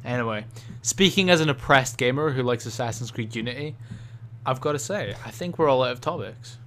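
Scottish guy cohosting a podcast, jokey, swears a lot, average pitch. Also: lively intonation, fairly quick, a bit bright, a little hiss or hum. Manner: joking, swears often. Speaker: podcast co-host. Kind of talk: casual chat.

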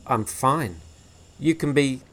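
A man voicing a gruff older male mortician who talks bluntly and curtly. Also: medium pitch, lively intonation, takes it slowly, fairly bright tone, a little hiss or hum, pitched above the performer's own voice. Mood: menacing.

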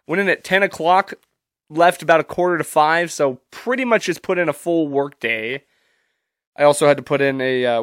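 A man's voice: mid-range voice, a bit bright, clear, resonant tone, no room sound, clean studio sound. A young man hosting a solo podcast, stuffy and congested from allergies, talking casually and rambling with loose, profane humor. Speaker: podcast host.

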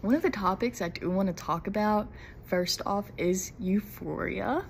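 A woman's voice: medium pitch, measured pace, creaky, slight background noise. A young woman from Mississippi, hosting her first podcast episode in a casual, nervous, giggly, rambling way. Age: young adult. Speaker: podcast host. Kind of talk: casual solo podcast chat.

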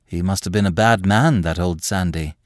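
A man voicing a calm, sympathetic lady who questions politely and gently in a story. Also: medium pitch, brisk, dry recording, clean studio sound, pitched above the performer's own voice, natural voice.

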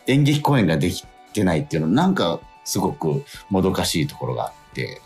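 A man with a deep voice hosts a casual radio talk over background music. His pitch moves around in an animated way, and his phrasing is hesitant and self-deprecating as he chats and reads out mail. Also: fast. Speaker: radio show co-host. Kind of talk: casual radio chat and reading listener mail.

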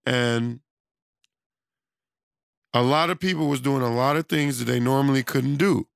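A man talking off the cuff with a gravelly, fry-tinged voice that is going hoarse as he loses it. The tone underneath stays smooth and resonant. The recording is close and dry, and he rambles and restarts his thoughts in a relaxed, conversational way. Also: medium pitch, a little slow, no echo. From United States. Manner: loose, rambling, conversational, with many restarts and fillers. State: losing his voice. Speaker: self-employed studio owner. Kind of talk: casual monologue.

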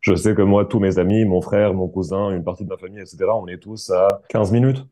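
A man's voice: sits low, fairly even intonation, fast, dark tone. A man in his thirties with a Parisian accent, chatting casually as a podcast guest on a video call from home, laughing often. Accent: Parisian French. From Tunisia. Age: 30s. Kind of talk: casual chat.

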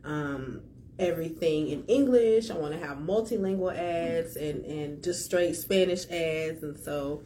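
A woman's voice: low-pitched, a little slow, mellow. Chatty woman podcaster with background noise. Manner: enthusiastic, chatty, laughs easily. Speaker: podcast host. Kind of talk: casual podcast chat.

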